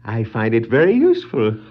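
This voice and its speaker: High, swooping clownish master criminal. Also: male voice, exaggerated voice. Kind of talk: 1960s children's record dramatization of a comic book. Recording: muffled 1960s vinyl record.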